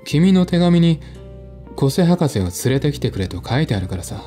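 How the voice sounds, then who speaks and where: A man playing a calm, matter-of-fact male friend in a mystery radio drama. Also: a little high, normal speed. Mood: puzzled. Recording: music behind the voice.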